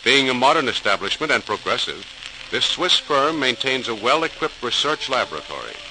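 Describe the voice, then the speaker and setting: A man's voice: medium pitch, very animated, moderate pace, bright, forceful tone. Dramatic male radio narrator, crackly recording. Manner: dramatic, storytelling. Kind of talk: 1940s radio scripted narration.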